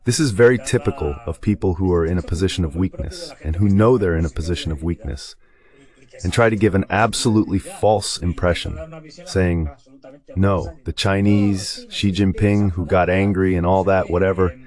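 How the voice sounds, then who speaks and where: A man's voice: low-pitched, moderate pace, darkish tone. A man giving conversational political commentary in an emphatic, repetitive, colloquial way, as a synthetic translation voice-over.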